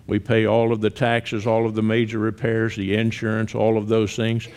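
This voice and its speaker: Older Southern male missionary, sixties, relaxed conversational church talk with light humor. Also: on the low side, normal speed. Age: 60s. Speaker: missionary guest speaker.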